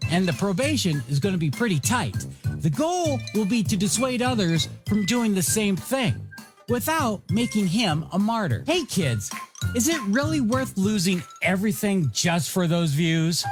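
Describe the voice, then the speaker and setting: A radio DJ host delivers playful, joking patter in a showy style. The pitch moves around with lots of animation at a middle height. A music bed plays clearly behind the voice.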